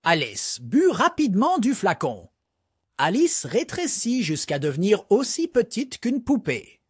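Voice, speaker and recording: A man narrating a scripted storybook for young children in an expressive read-aloud manner. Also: sits high, a little slow, slightly rough, no echo, clean studio sound.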